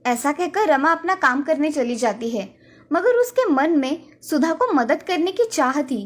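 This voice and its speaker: A woman's voice: high-pitched, lively intonation, measured pace. A woman narrating a scripted children's story as a storyteller.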